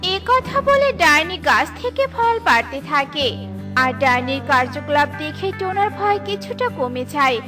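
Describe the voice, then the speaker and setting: Children's story narrator, woman: notably high voice, scripted delivery, over a music bed. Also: very animated, unhurried. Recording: music behind the voice.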